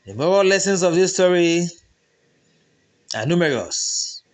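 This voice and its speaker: Male storyteller, Nigerian accent, somewhat high register, unhurried dramatic delivery for folktale narration. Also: animated.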